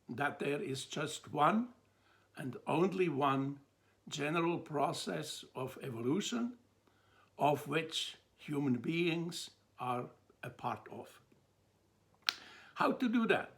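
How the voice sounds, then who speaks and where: An older man from Switzerland with a Swiss accent, giving a measured talk to camera and pausing between phrases. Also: on the high side. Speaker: theologian presenting a talk. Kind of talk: slow, deliberate lecture to camera.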